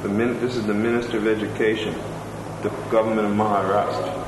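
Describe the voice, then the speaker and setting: A man's voice: fairly low pitch, level tone, fast. Man reading reviews aloud in an echoey room. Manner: reads steadily, adds brief asides. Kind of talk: reading aloud in informal conversation. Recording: echoey room with loud noise.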